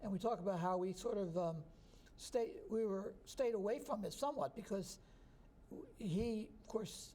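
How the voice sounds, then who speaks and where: A man in his sixties from New Jersey, a history professor answering interview questions in a relaxed, thoughtful way. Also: sits high, moderate pace. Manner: relaxed, thoughtful, chuckles easily.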